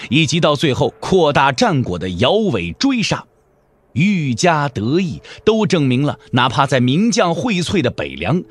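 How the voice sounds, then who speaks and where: A man giving dramatic scripted narration as the storyteller of a martial-arts audio drama. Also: on the high side.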